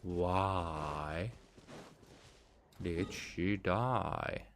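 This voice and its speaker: A man giving casual gameplay commentary, rambling and thinking aloud with halting phrases. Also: sits low, slight background noise. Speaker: gaming streamer. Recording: game music behind the voice. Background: video game music.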